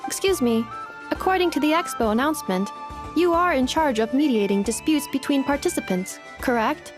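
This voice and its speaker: High, composed robot assistant. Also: female voice, lively intonation, a little fast, over music. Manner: formal, precise. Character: polite, composed female robot assistant, literal-minded. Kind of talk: video game character dialogue.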